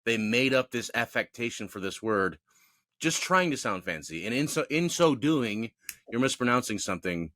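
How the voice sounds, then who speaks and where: A man with a bright, forceful voice recorded dry and close. He co-hosts a casual podcast and rants about his pet peeves. He stays opinionated while poking fun at himself. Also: medium pitch, normal speed, dry recording. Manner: opinionated, animated, self-mocking. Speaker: podcast co-host. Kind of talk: casual ranting chat.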